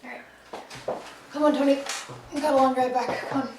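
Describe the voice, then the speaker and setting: An actress playing an earnest, stubborn believer in the supernatural, talking in an insistent, rambling way in a naturalistic drama. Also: female voice, sits high, steady tone, moderate pace, natural voice. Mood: self-reproachful. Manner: insistent, rambling, self-deprecating. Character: earnest, stubborn, chatty woman who believes in ghosts. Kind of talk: naturalistic film drama acting. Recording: echoey room, distant mic, faint noise.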